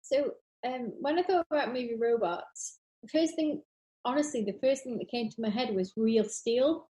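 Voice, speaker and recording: A woman with a fairly high voice talks in a roomy, echoey space. She chats casually and enthusiastically, rambling from one thought to the next and gushing as she goes. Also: normal speed, warm tone. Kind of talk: casual chat.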